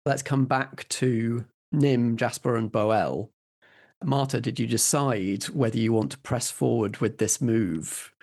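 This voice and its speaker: A man's voice: mid-range voice, takes it slowly, a little mellow. A man narrating and refereeing a tabletop roleplaying game as game master.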